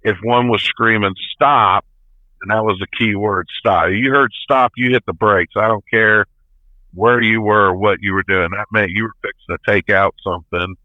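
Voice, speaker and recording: A man's voice: fairly low pitch, fairly even intonation, normal speed, no echo. A plain-spoken, folksy man in his fifties telling stories casually as a truck driver being interviewed. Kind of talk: casual storytelling. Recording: tinny phone-like line.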